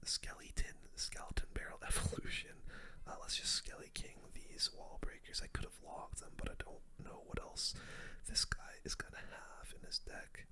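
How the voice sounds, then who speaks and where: A young adult game streamer speaks entirely in a whisper, with a very bright, hissy edge. The delivery is casual ASMR play-by-play, full of gamer slang and aimed straight at the viewers from close on the microphone. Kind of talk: whispered ASMR gaming commentary.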